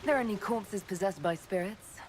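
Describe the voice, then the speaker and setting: A woman playing a composed, earnest elf heroine in a video game, speaking calmly and directly. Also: mid-range voice, normal speed, slight background noise, close to an everyday voice. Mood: composed, resolute. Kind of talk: video game character acting.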